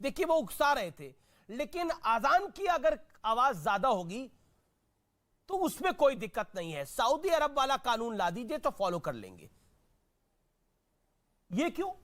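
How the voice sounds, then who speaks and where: A man's voice: extremely high pitch, very animated, unhurried, pauses often, fairly bright tone. A man anchoring a television debate, combative and sarcastic, repeating phrases as he rants. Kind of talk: heated TV debate.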